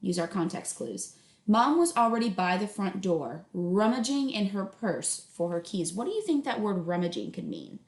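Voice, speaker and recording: A woman reading a story aloud like a teacher, pausing to explain and check understanding with young listeners. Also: mid-range voice, big pitch swings, a little mellow. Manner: instructional, checks understanding, affirms listeners' answers. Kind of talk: read-aloud narration with questions to young listeners. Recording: echoey room sound.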